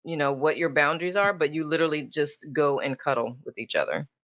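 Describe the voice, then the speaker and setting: A woman's voice: low-pitched, lively intonation, brisk, fairly bright tone, no room sound. Effusive woman hosting, dry close sound. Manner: effusive, informal. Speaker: talk show host. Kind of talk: casual chatty interview hosting.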